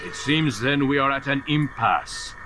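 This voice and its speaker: An actor playing a calculating older master assassin in a video game, philosophical and condescending in a theatrical, oratorical way. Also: male voice, medium pitch, unhurried, music in the background, natural voice. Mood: disdainful regret. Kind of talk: dramatic video game cutscene acting.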